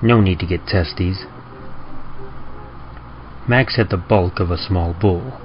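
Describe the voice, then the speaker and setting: Male horror narrator, low pitch, deliberate dramatic pauses, slightly dull muffled audio. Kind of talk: dramatic scripted horror reading. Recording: muffled audio with clear background noise.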